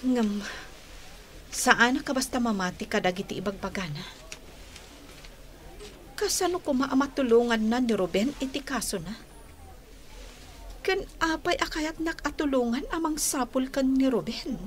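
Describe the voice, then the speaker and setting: High-voiced, guarded young lady. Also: animated, a little slow, long pauses. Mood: uncertain. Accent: Ilocano Filipino. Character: guarded young lady, wary and troubled over her beloved. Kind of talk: Filipino radio drama acting in Ilocano.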